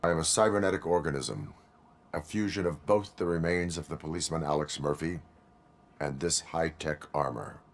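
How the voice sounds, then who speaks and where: Deep-voiced deadpan cyborg cop. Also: male voice, moderate pace. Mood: flat, guarded. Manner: deadpan, clipped, formal. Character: stoic cyborg police officer, part man, part machine.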